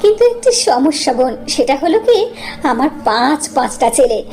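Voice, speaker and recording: A woman's voice: sits high, very animated, normal speed, darkish tone. A woman playing a gracious, effusive wealthy mother in a cartoon story. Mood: earnest. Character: gracious wealthy mother seeking brides for her sons. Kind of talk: animated story voice acting.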